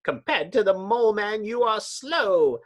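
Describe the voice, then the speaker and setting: High, mad underground comic-book villain. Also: male voice, expressive, a little slow. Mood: taunting. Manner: grandiose, taunting, theatrical. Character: mad, boastful subterranean comic-book villain, male mole man. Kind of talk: dramatic comic book reading.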